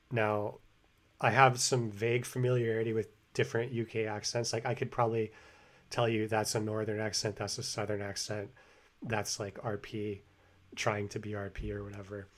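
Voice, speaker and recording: Rambling low-voiced American man. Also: level tone, moderate pace. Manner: rambling, many fillers and restarts. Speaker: language-learning vlogger. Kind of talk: casual explanatory monologue. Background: construction hammering and sawing overhead.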